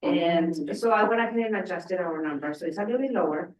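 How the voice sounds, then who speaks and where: A woman with a low voice presenting a finance report at a school board meeting, explaining carefully with many fillers. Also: fairly quick. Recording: echoey room, distant mic.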